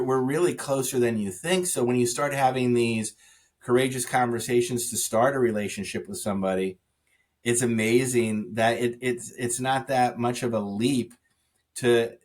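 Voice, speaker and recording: A man speaking as a podcast guest, telling stories in an animated way with frequent restarts and "you know" fillers. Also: mid-range voice. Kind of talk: conversational interview.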